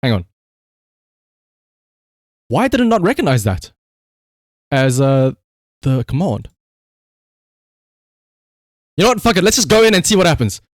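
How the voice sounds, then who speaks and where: A man's voice: on the high side, very animated, measured pace, pauses often, no room sound, studio quality. A man doing casual live game-stream commentary, swearing freely and repeating short command words.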